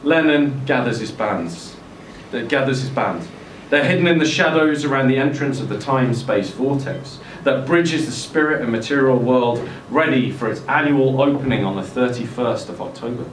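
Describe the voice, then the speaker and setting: A man gives a dramatic story reading at a live literary event. His voice is mid-pitched. It is picked up from a distance in a very roomy venue with faint noise. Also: normal speed. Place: indoor social room at a live event.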